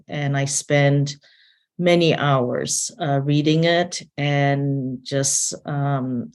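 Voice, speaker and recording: A woman from Taiwan who grew up in several countries, a missions leader sharing her faith story earnestly and haltingly as an interview guest. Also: sits low. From born in Taiwan, grew up in several countries. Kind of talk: personal testimony in an interview.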